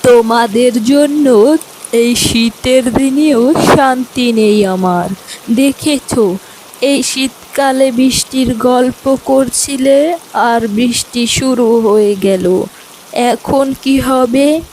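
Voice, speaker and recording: A woman's voice: on the high side, takes it slowly, a little mellow, slight background noise, low-quality recording. A woman voicing a fond, chatty old village grandmother in a children's cartoon, homely and given to reminiscing and mild grumbling. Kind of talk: children's fairy tale cartoon dialogue.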